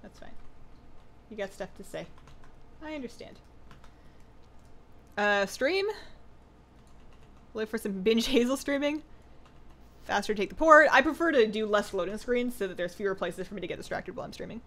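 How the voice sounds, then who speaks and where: A woman's voice: medium pitch, lively intonation, normal speed, pauses often, a bit bright. A woman streamer chatting casually with viewers while she plays, her voice a little worn from two hours of talking. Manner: chatty, reads viewer questions aloud, frequent "like" and "um". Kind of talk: casual live-stream chat while gaming.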